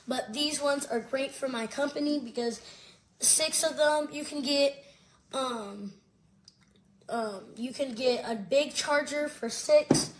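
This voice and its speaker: High-voiced woman in a hesitant product review. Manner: hesitant, repeats herself. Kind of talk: casual spoken product review with demonstration. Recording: faint background noise and clicks.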